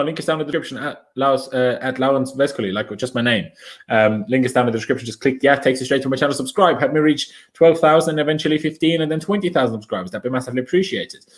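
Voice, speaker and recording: Chatty Austrian man streaming a match. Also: medium pitch. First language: German. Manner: chatty, opinionated, reads viewer comments aloud. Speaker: fan watchalong streamer. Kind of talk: casual live match commentary and chat reading.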